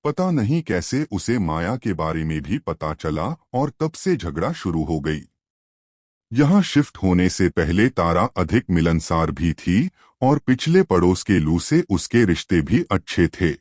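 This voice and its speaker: A man's voice: deep voice, big pitch swings, a little slow, a little mellow, no room sound, studio quality. A man narrating a scripted suspense story as a storyteller.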